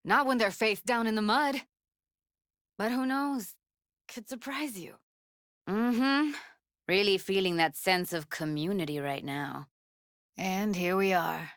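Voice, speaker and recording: A woman's voice: medium pitch, slow pace, pauses often, a bit bright. An actress voicing a streetwise young techie woman in a cyberpunk video game, talking in a casual, slangy, wry way. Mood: wry, candid. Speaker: video game character actor. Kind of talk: naturalistic game voice acting.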